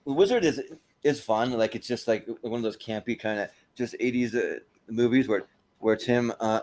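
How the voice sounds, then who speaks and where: A man's voice: medium pitch, moderate pace, a little mellow. A man hosting an informal panel discussion, chatting casually. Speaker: host of a panel show.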